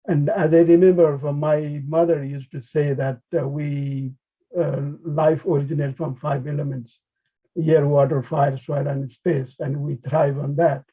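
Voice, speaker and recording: A man with a Nepali accent lectures informally in a husky, fairly high voice. His phrasing is halting, with many restarts. The sound is thin and narrow, like a phone line on an online call. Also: level tone. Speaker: conservation biologist, webinar speaker. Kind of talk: informal academic webinar talk. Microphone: video call.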